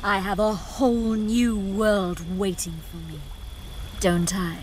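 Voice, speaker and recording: A woman's voice: medium pitch, flat delivery, slow, a little hiss or hum, lightly acted. A woman voicing a seductive, haughty fairy queen in a video game, sultry and condescending. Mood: imperious. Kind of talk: video game character acting. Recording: loud music behind the voice.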